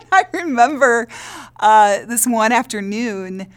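A woman's voice: on the high side, lively intonation, takes it slowly. A woman interviewee telling anecdotes in casual interview talk. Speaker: theater director, interviewee.

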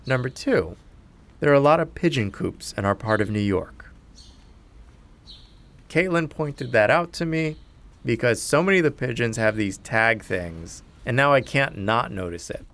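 A man hosting and narrating, asking earnest, probing questions in a measured, reflective way. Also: medium pitch, lively intonation, fairly bright tone. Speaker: host and narrator. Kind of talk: reflective documentary narration and interviewing. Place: lives in a city neighborhood.

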